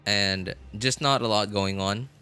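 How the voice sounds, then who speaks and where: Guy streaming a game, kinda low but bright voice, rambly chat, music behind him. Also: clear, resonant tone. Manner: rambling, halting, thinking aloud. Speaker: game livestreamer. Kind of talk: casual chat to viewers while gaming. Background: background music.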